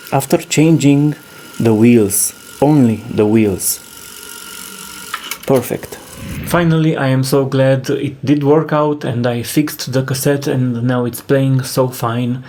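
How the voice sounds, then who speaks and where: A man talks through a repair casually, thinking aloud as he goes. His delivery is slow and halting, with a soft-edged, mellow tone at a middling pitch. There is faint noise behind him. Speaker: host demonstrating a cassette repair. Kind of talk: casual hands-on repair narration.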